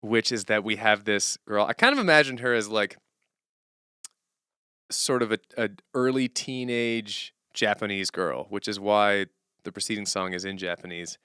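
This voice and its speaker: Male streamer, lowish smooth voice, casual and animated, rambling thinker-aloud, dry close sound. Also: moderate pace, no echo. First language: English as main language, German heard at home. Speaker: streamer and songwriter. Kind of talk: casual unscripted stream talk.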